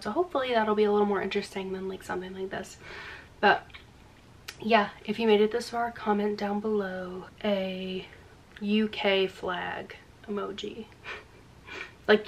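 A woman's voice: mid-range voice, a bit bright, recorded from a distance. A young woman in her twenties with a Long Island accent, chatting casually to camera at home, rambling with lots of "like" fillers. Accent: Long Island American. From Long Island, USA. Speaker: vlogger. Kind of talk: casual vlog chat. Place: small indoor room, then home kitchen.

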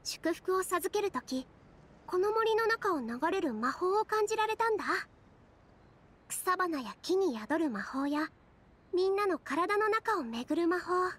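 アニメ調ゲームで、女性声優が演じる若い森の妖精。柔らかく優しい、ひたむきな話し方。. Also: female voice, extremely high pitch, lively intonation, measured pace, heavily acted. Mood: thoughtful. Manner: soft, gentle, earnest. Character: gentle young female forest fairy, kind and earnest. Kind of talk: anime video game voice acting.

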